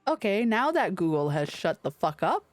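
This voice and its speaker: A woman streamer reads fantasy game lines aloud with theatrical expression. Her mid-pitched voice is lively and moves around a lot, recorded dry over music. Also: moderate pace, dry recording. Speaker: livestreamer. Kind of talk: reading game dialogue aloud in character, with casual asides.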